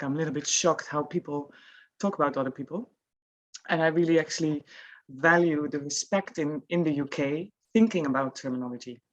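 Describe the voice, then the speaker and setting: A woman with a Dutch accent speaking on a panel as an employer, thoughtful and soft-spoken with frequent pauses. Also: deep voice, dull audio. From Netherlands. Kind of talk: panel discussion.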